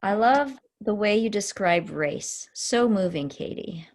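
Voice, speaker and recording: A woman's voice: mid-range voice, animated, a little slow. A woman hosting a student event on a video call, speaking casually and encouragingly with effusive, gushing praise. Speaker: host of a student symposium session.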